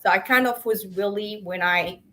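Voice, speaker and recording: A woman's voice: mid-range voice, normal speed, sharp tone. A young woman from Morocco, telling her career story earnestly as a panel guest on a video call, often restarting her sentences.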